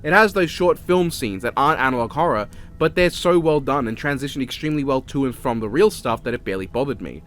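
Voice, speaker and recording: A man's voice: mid-range voice, animated, fast, few pauses, fairly bright tone, slight background noise. An Australian man giving a casual, opinionated and sweary video-essay review as a voice-over.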